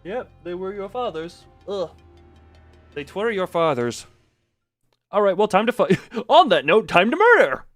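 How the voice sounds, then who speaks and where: A man's voice: high voice, big pitch swings, a little slow, pauses often, fairly bright tone, dry recording. A man giving live gameplay commentary with a wry, sarcastic manner and playful exclamations. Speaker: game streamer. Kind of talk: live gameplay commentary, reading dialogue aloud. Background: game music.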